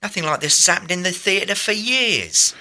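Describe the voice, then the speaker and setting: A man playing a steady, reassuring young working-class theatre lad in a radio play. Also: sits high, normal speed, heavily acted. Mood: excited. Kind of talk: radio drama acting.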